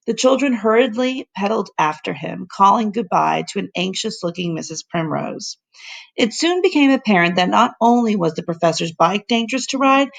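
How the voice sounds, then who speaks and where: Woman reading a storybook, creaky voice. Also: medium pitch. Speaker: teacher reading aloud. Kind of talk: expressive storybook narration for children.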